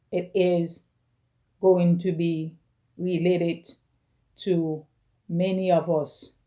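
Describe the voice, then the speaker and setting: Lady in her 40s, halting personal vlog, lowish warm voice. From Caribbean. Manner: slow, halting, phrase by phrase. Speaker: vlogger. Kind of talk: personal video message.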